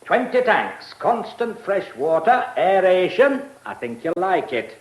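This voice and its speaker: An actor with a British accent playing an eccentric, brusque lordly press baron in a radio drama, speaking in clipped, mumbling phrases. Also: male voice, high-pitched, very animated, a little slow. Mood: proud, absorbed.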